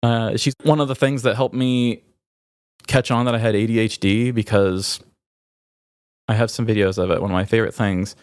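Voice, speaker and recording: A male podcast host in candid, reflective conversation. His voice sits fairly low and is recorded very dry. He speaks haltingly, often stopping to search for the right words. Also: fairly even intonation, no echo.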